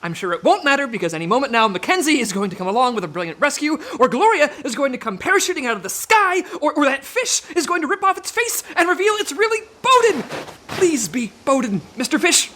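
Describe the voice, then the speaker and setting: Fretful, highly animated secret agent. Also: male voice, fast, slight background noise, exaggerated voice. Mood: frantic. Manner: fretful, sarcastic. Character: exasperated, anxious by-the-book male secret agent. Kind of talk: comedic audio drama acting. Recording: faint background ambience.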